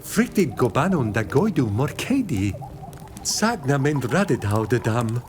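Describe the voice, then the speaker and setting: An actor playing a solemn islander in a fantasy video game, speaking dramatically. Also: male voice, mid-range voice, sing-song, measured pace. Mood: solemn, intense. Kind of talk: scripted video game dialogue. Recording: faint music behind.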